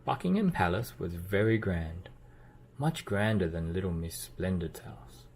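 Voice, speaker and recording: A man reading a children's storybook aloud as narrator, with expressive delivery and playful emphasis. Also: sits low, measured pace, slight background noise. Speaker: children's book reader.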